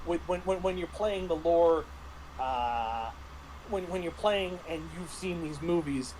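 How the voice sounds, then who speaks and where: A man in a casual review discussion, talking haltingly with repeated words and false starts. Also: a little high, a little mellow, a little hiss or hum, poor audio. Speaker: panelist reviewing a tabletop roleplaying game.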